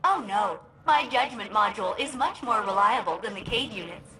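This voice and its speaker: A woman voicing a malfunctioning female ship computer in a video game, split between formal cheer and sullen sarcastic deadpan. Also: medium pitch, moderate pace, noisy background, close to an everyday voice.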